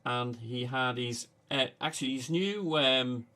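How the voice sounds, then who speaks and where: A man with a Manchester accent hosting a casual livestream, talking haltingly with many fillers. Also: medium pitch, bright, forceful tone. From England. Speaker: livestream host.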